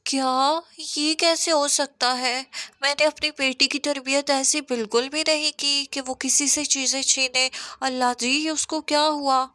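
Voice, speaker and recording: A woman's voice: high-pitched, fairly even intonation, measured pace, pushed and bright, no echo, pitched above the performer's own voice. A woman voicing a poor, anxious, honest housemaid mother in a cartoon story, earnest and pleading. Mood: shocked.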